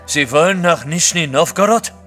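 An actor playing a blunt, proud Russian merchant in an audio drama. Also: male voice, a little high, lively intonation, normal speed, sharp tone, faint music behind. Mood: terse, proud.

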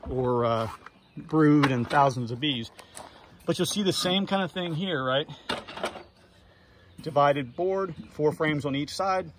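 A man in a casual outdoor how-to walkthrough, showing his hive gear in an apiary yard, relaxed and practical, with lots of "uh" and "right?" fillers. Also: a little high, a little hiss or hum.

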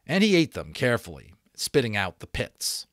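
A man in his thirties reading a novel aloud as an expressive, dramatic audiobook narrator. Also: mid-range voice, normal speed, dry recording, clean studio sound. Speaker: audiobook reader, voice actor. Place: small home room, indoors. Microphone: close desk microphone.

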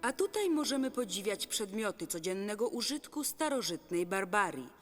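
A woman's voice: mid-range voice, big pitch swings, normal speed. An actress playing a composed, matter-of-fact woman in a dubbed cartoon. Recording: reverberant hall with faint music.

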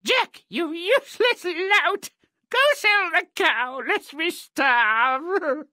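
A woman's voice: very high-pitched, animated, very slow, sharp tone, no echo, studio quality, exaggerated voice. A woman voicing a poor, anxious mother in a fairy-tale video game, melodramatic and fretful. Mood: scolding, despairing. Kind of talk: video game character acting.

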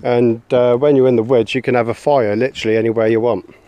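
A man's voice: mid-range voice, level tone, fast, warm tone, dry recording. A young man with an English accent, chatting casually to camera while walking through woodland and camping with his son. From England. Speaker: bushcraft vlogger. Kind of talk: casual outdoor vlog. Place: woodland and grassy campsite outdoors. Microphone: handheld camera.

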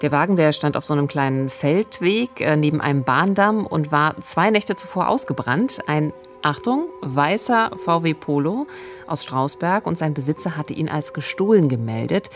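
Low-pitched woman telling a crime case. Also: expressive, moderate pace, soft background music. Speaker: true-crime podcast co-host. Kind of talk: conversational case narration. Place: small indoor podcast studio. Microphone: close studio condenser microphone with pop filter.

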